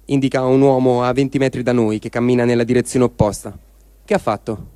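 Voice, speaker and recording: A man giving a calm, measured dramatic reading of diary texts for a radio program. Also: mid-range voice, clear, resonant tone, no echo. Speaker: reader. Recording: dry close studio sound.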